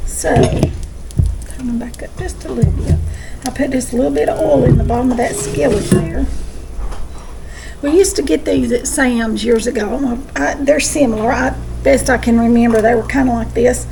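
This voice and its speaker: Middle-aged woman, Southern American, home cooking host, chatty, high register, noisy kitchen recording. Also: normal speed, creaky. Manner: folksy, chatty. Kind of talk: casual cooking demonstration chat.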